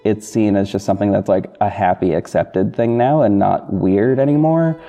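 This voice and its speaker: A man hosting a podcast, speaking informally and haltingly to listeners, often stopping and restarting his thoughts. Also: on the low side. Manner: hesitant, halting, self-interrupting. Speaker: podcast host, audio drama narrator and producer. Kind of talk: informal behind-the-scenes solo talk to listeners. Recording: soft guitar music bed under voice. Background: plucked guitar music.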